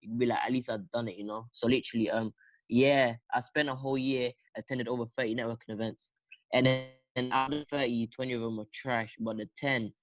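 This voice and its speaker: A man's voice: mid-range voice, fairly even intonation, fairly quick, dry recording, low-quality recording. A teenage boy, chatting casually as a podcast guest, rambling with lots of fillers, slang and laughs. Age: teens. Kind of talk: casual chat.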